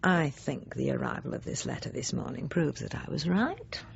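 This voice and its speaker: A woman's voice: deep voice, big pitch swings, moderate pace, gravelly, slight background noise, heavily acted. A woman acting a self-righteous, snobbish middle-aged housewife in a confiding radio drama monologue, prim, pointed and self-justifying. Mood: suspicious. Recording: slightly dull, lo-fi radio recording.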